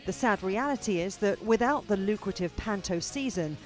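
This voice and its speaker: A woman narrates a scripted TV news feature as its reporter. Her pitch moves in a lively, expressive way, with a playful storytelling touch. Faint music plays behind her mid-range voice. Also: moderate pace.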